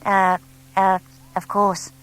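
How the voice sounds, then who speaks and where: A woman's voice: medium pitch, monotone, slow pace, fairly bright tone, clean tone. A woman voicing a small young male barn owl, proud, eager and blunt, in a children's audiobook. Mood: flustered. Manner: childlike, hesitant when flustered, blunt.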